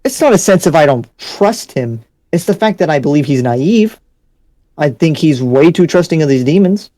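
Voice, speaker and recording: A man's voice: a little high, expressive, moderate pace, mellow, dry recording, natural voice. A man playing a blunt, paranoid demon slayer in an improvised anime game roleplay, speaking conversationally but insistently. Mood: frustrated, argumentative. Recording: background music under the voice.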